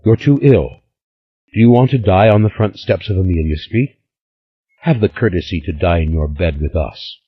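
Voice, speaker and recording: A man reading as a stern middle-aged woman, blunt and scolding. The low voice is husky. The recording sounds slightly dull and lo-fi. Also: normal speed. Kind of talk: audiobook character voice.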